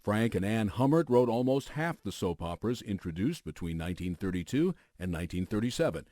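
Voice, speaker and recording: A man's voice: on the low side, big pitch swings, fairly quick, mellow, no echo, studio quality. An American man hosting a radio documentary, reading scripted narration as a news anchor would.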